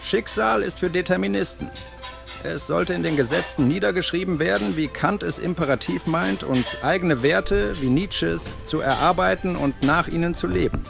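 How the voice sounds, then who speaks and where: A pompous village philosopher, acted by a man in a comic radio play. His fairly high voice is thick with creak as he lectures and over-analyses, music playing behind. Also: moderate pace. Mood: pompous, lecturing. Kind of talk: comic audio drama acting.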